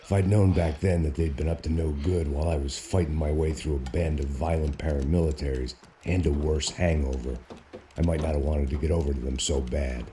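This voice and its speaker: An actor playing a jaded, hard-drinking middle-aged American action hero, narrating in a deadpan, sardonic noir style. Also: male voice, a bass voice, a little fast, slight background noise. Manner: world-weary, deadpan, sardonic.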